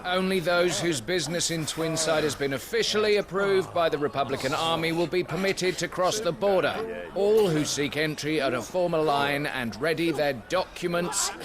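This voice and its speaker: An actor playing a stern soldier in a video game, making an officious public proclamation. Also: male voice, on the high side, normal speed, crowd noise, natural voice. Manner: officious, declamatory. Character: stern official soldier announcing border rules.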